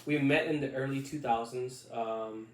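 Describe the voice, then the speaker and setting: A man in his thirties, from Puerto Rico, co-hosts a casual podcast. He talks haltingly, circling back with fillers and restarts, in a flat, level voice. He sits a bit back from the mic in an echoey room with a faint hiss behind. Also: medium pitch, recorded from a distance. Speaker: podcast co-host. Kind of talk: casual chat.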